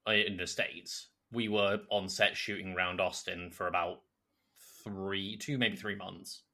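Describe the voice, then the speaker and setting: A man with a lowish voice and a bright, forward edge. He co-hosts a podcast and speaks in a casual, conversational way. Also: steady tone, measured pace. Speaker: podcast co-host. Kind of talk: casual chat.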